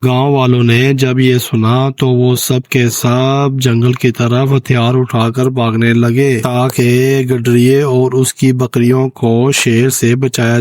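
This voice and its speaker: Flat, brisk male storyteller. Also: mid-range voice, no room sound. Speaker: narrator. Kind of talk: storybook narration for children.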